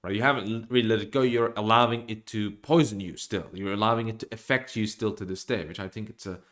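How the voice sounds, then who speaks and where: A young man from Norway streaming from a small room at home, giving an opinionated monologue to his desk webcam with animated gestures and frequent restarts. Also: fairly low pitch, fast.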